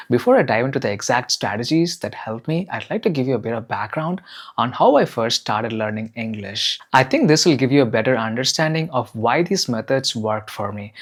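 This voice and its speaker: Man with a husky voice. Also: medium pitch. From India. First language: Tamil. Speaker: English-learning channel host. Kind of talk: scripted talk to camera.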